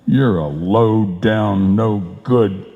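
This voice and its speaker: A man's voice: fairly low pitch, very slow. Man playing cranky, blustering radio-comedy husband, echoey hall. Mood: muttering grievance. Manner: indignant, sputtering, grumbling. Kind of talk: old-time radio comedy acting. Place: live performance on a stage in a hall. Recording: muffled live stage recording, echoey hall.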